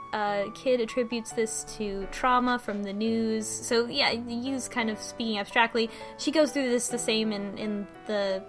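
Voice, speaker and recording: Female podcast co-host, slightly high voice, informal recap with quoted dialogue, faint music behind. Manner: informal, acts out characters' lines. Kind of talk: casual chat, plot recap from notes. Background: music under the talk.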